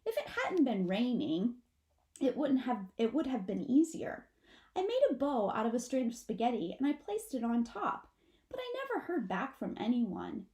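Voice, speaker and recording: A woman's voice: fairly high pitch, wildly swooping pitch, moderate pace, dark tone, pitched above the performer's own voice. A woman voicing a mother possum in a storybook, a firm but wistful parent with a stagey, instructing manner.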